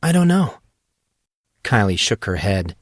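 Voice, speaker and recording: A man reading the part of a shy, sad young woman who confides softly and earnestly in an audio drama. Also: lowish voice, big pitch swings, unhurried, no echo, clean studio sound.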